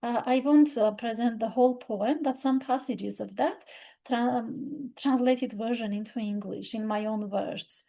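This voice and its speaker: A woman's voice: a little high, a little slow, vocal fry, warm tone. A woman whose first language is Armenian, speaking English in an unscripted, reflective talk about poetry, with many fillers and restarts. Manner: reflective, thoughtful. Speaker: literature video presenter.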